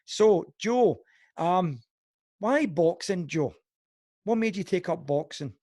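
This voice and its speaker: A man with a Glasgow accent hosting an amateur podcast, chatty and friendly, dropping in quick "yep"s as his guest talks. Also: sits high, lively intonation, darkish tone, no echo, clean studio sound. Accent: Glaswegian. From Scotland. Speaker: podcast host. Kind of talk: casual interview.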